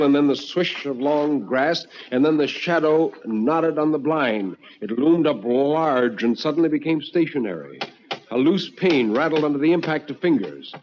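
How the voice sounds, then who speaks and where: A man's voice: a little high, dull audio, slight background noise. A man narrating a first-person ghost story as a dramatic storyteller, theatrical and full of suspense.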